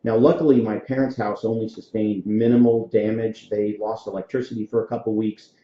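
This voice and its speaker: A man's voice: fairly low pitch, little pitch movement, fairly quick, warm tone. A man in his forties from Florida, hosting a casual podcast interview and telling stories with frequent fillers. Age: 40s. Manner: friendly, storytelling, frequent fillers. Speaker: podcast host. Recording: echoey room, dull lo-fi sound.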